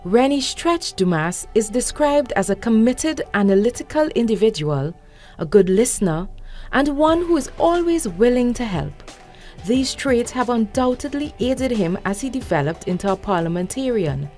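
A woman reads a scripted documentary narration with a mellow, warm tone and a lively, rising and falling melody. Soft music runs underneath, and her delivery stays polished and even throughout. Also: fairly high pitch, moderate pace.

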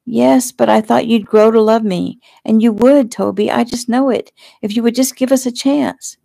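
A woman's voice: lowish voice, normal speed, creaky, warm tone, no room sound. A woman narrator voicing a demanding, clingy young fiancée in an audiobook, theatrical, pleading and indignant.

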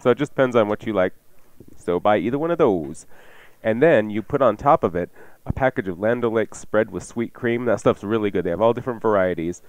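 A man's voice: on the low side, lively intonation, brisk, no echo, poor audio. A man hosting a radio cooking show in a casual, chatty way, jokey and self-deprecating.

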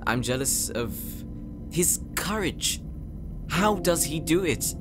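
An actor playing an earnest, insecure young knight in an audio roleplay, speaking gently and hesitantly, as if confiding in his sister. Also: fairly high pitch, expressive, slow pace, over music. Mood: wistful, jealous. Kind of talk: audio roleplay drama, intimate monologue.

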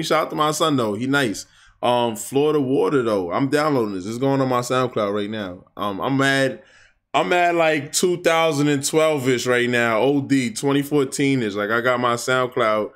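A young man talks loosely and excitedly over a track he is reacting to, full of slang and running asides. His voice sits at an average pitch. He speaks to a webcam from an indoor spot at home. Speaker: music reaction host. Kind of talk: casual reaction commentary. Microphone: webcam framing, wearing headphones.

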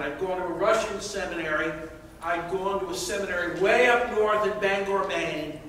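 A middle-aged man stands in a large auditorium and shares a story loudly, with a jokey touch. His voice is high and bright. He is picked up from far off, so the hall's echo rings around every word. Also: slight background noise. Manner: loud, anecdotal, jokey delivery. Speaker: audience member. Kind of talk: comment from the floor. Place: large auditorium, standing among seated audience. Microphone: no mic, voice carried to a far camera. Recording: echoey hall from far off.

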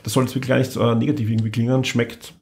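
A man's voice: medium pitch, little pitch movement, racing, creaky, a little mellow, a little hiss or hum. A man, chatting casually as a podcast host and often repeating words as he starts sentences. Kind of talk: casual chat.

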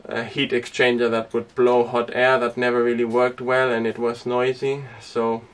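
A young man who grew up speaking Danish, chatting casually to camera inside a small sailboat, relaxed and rambling with lots of fillers. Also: mid-range voice, moderate pace, clean tone, recorded from a distance. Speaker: sailing vlogger. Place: inside a small sailboat cabin and cockpit tent.

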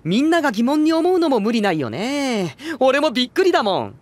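A man's voice: very high-pitched, big pitch swings, a little fast, no echo. A man playing a breezy, cocky young idol and former student president in a Japanese voice drama, flippant and teasing. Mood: breezy, smug. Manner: flippant, self-assured, teasing.

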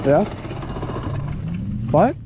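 A man's voice: extremely slow, muffled recording. A man riding a dirt bike, chatting casually and joking with a friend as he rides. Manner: relaxed, joking banter. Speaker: dirt bike rider filming. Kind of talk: casual chat while riding. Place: outdoors on a dry sandy lakebed. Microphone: bike- or helmet-mounted action camera. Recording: loud engine and wind noise outdoors. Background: motorcycle engine.

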